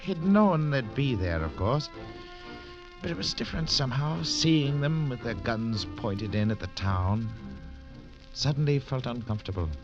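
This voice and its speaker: Male radio narrator, hugely varied pitch, music under, dull old broadcast sound. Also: very animated, normal speed. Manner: storytelling, dramatic swings.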